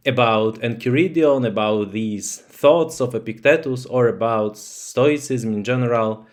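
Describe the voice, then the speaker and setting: A man whose first language is Polish talks to his viewers in English at an unhurried, deliberate pace. His voice sits at an ordinary middle pitch. He pauses often and fills gaps with soft fillers while he works out his thoughts. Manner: hesitant, thoughtful, frequent fillers. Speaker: channel host. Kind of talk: slow casual talk to camera.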